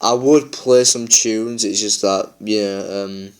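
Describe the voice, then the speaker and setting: A man chatting casually while playing a mobile game, tired and a bit croaky, rambling with lots of fillers. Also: fairly low pitch, fairly even intonation, slow. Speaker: gaming YouTuber. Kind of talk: casual gameplay chat.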